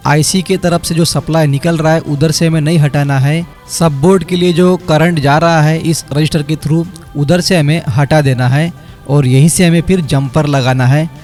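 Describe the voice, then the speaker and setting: A man's voice: on the high side, steady tone, normal speed. A man, a phone repair technician narrating a step-by-step repair tutorial over his work. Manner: explanatory, step by step, addresses viewers as friends. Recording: quiet background music under voice-over. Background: light background music.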